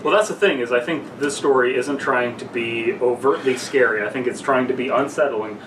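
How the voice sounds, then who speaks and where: A man's voice: mid-range voice, brisk, recorded from a distance. Young man in an echoey, noisy room. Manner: joking, crude. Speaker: podcast co-host. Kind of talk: casual banter and story read-aloud.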